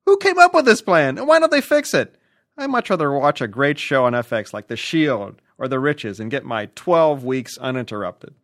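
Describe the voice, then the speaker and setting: A man co-hosts in casual, joking banter, reading questions aloud. His mid-pitched voice moves up and down in an animated way, and the sound is dry and close. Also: moderate pace, no room sound. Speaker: podcast co-host reading listener questions.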